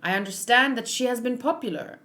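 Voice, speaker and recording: A woman's voice: fairly high pitch, a little fast, sharp tone. A woman voicing an earnest samurai man in a manga, with formal, old-fashioned phrasing.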